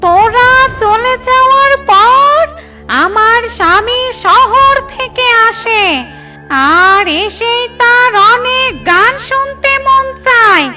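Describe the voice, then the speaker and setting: A woman voicing a gloating witch who eats birds in a children's cartoon, with drawn-out, declaiming speech. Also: very high-pitched.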